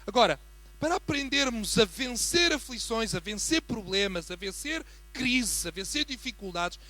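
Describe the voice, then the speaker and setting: A man with a European Portuguese accent preaching as a guest in a church, building from a quiet greeting to fervent emphasis. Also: very high voice, expressive, moderate pace, soft background music. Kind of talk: sermon.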